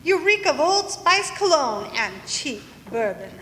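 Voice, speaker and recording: A woman's voice: high-pitched, very animated, a little slow, sharp tone, full character voice. An actress playing a tough, haughty middle-aged female con artist in a noir radio drama, snappy and brassy. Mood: haughty, sharp. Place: large hall, live stage performance. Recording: echoey hall, faint noise, live stage performance.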